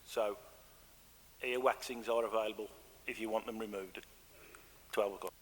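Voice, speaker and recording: An English man, a councillor, who speaks loudly with a projected voice and a joking manner. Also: lowish voice, fairly even intonation, moderate pace, slight background noise. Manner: loud, projected voice; jokey. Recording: reverberant council chamber.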